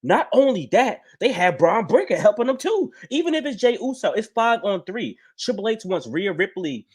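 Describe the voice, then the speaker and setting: A man's voice: high-pitched, very animated, moderate pace, husky. A man co-hosting a casual wrestling chat stream, blunt and profane. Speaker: podcast co-host.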